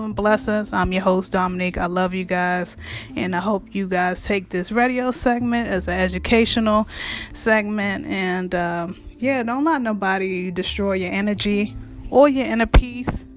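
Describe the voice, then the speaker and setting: A woman with a raspy voice and speech, hosting her own show in a blunt, frank monologue that swears freely. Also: medium pitch. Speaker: podcast host. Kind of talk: casual monologue.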